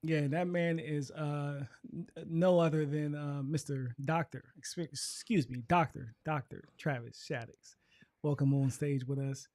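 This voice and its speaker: A man's voice: a little high, fairly even intonation, a little slow, darkish tone, dry recording, studio quality. A man hosting a live show in a casual, colloquial, chatty way. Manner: informal, colloquial. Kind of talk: casual livestream chat.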